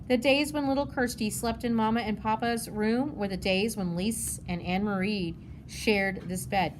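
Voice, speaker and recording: A woman's voice: mid-range voice, fairly even intonation, normal speed, noisy background. A woman narrating a children's novel as a read-aloud.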